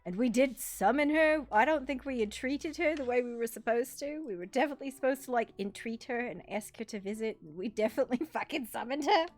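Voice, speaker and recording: A playful woman in a tabletop role-playing session whose voice sits a little high and swings widely in pitch. She jokes, swears freely and laughs often. Soft music runs faintly behind her. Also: lively intonation, brisk, few pauses. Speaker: tabletop game player. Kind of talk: casual in-character game chat.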